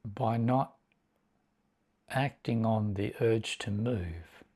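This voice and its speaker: Very slow, low-voiced man guiding meditation. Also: dark tone. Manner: measured, deliberate, with long pauses between short phrases. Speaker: meditation teacher. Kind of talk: guided meditation instruction.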